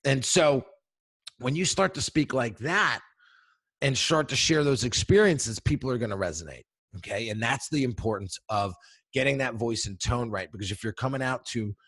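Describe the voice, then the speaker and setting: A man with a deep voice, a brand coach giving an energetic teaching talk straight to viewers, often checking in with "right?" and "okay?". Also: normal speed, slightly rough. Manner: energetic, emphatic, addresses viewers directly with frequent "right?" and "okay?". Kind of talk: instructional video talk.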